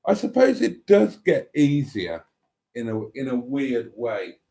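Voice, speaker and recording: A man hosting a casual live chat and reading out viewer comments, with a wry, deadpan delivery. He is snotty and has a cough. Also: medium pitch, slow, gravelly, breathy edge. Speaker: livestream host reading chat.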